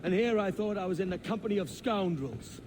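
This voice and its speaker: An actor playing a cocky, roguish young pirate captain in a video game, with a wry, bantering manner. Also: male voice, high voice, animated, a little fast, background noise. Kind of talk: video game cutscene acting.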